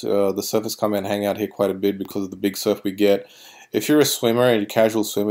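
A man giving informal voice-over commentary as a tour guide, haltingly, often repeating and correcting himself. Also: on the low side, darkish tone.

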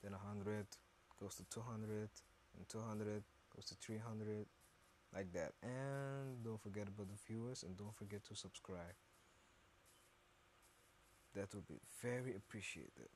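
Male gaming host, deep and unhurried, casual self-muttering commentary, slight background noise. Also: darkish tone.

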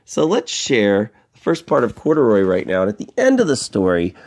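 Gravelly-edged man teaching young children. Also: lowish voice, normal speed. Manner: playful, expressive, asks questions and answers them. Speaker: elementary school teacher giving a library lesson. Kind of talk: instructional lesson for young children.

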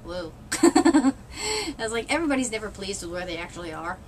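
Woman, thirties, from New England, casual webcam chat, wry, rambling, mid pitch, faint background hiss. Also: expressive, fairly quick. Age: 30s. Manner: rambling, wry, laughs often, mild swearing. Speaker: vlogger. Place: small indoor room with red cloth backdrop.

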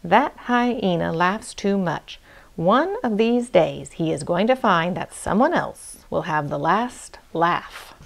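A kindly grown-up chimpanzee from a storybook, voiced by a woman reader. He explains things patiently and plainly, reassuring a young listener in a measured way. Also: fairly low pitch, expressive, creaky, full character voice. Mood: disapproving. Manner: explaining patiently to a little bear. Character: kindly, reassuring adult male chimpanzee father.

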